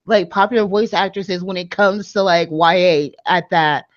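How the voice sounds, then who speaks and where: A woman's voice: on the low side, moderate pace, no echo, lo-fi sound. A woman co-hosting a live show, chatting casually and rambling with lots of "like" and "you know". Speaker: podcast co-host. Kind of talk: casual chat about audiobooks.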